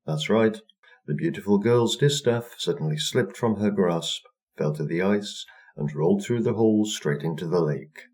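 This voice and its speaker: Male storyteller, low register, reading a children's folktale. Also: normal speed, darkish tone. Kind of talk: scripted folktale narration for children.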